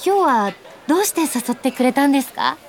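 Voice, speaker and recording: A woman's voice: high voice, a little fast, vocal fry. An actress playing a polite, easily flustered young female office worker in a Japanese radio drama, speaking expressively. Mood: polite, puzzled. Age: young adult. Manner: polite junior speech, expressive. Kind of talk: Japanese radio drama acting with narrated asides.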